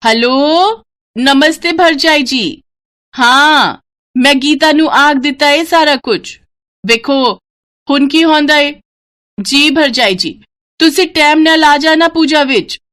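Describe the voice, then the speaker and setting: A woman's voice: sits high, fairly bright tone, pitched above the performer's own voice. A woman voicing a demanding, fussy older Punjabi mother-in-law in a story, with an emphatic, nagging delivery and a Punjabi accent.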